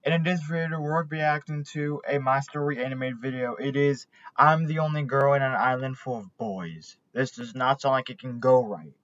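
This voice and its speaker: A man's voice: mid-range voice, moderate pace, few pauses, a bit bright, no echo, muffled recording. A man hosting a reaction video, talking casually with wry jokes.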